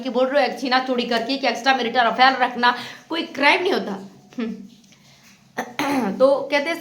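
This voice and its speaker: A woman's voice: high voice, a bit bright, reverberant. A woman giving opinionated reaction commentary as a channel host, reproachful and insistent, often repeating her points.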